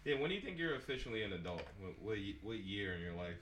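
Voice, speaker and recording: A man's voice: fairly low pitch, big pitch swings, fast talker, fairly bright tone, roomy sound, a little hiss or hum. A man chatting loosely with friends on a casual podcast, joking and projecting his voice. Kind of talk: casual chat among friends.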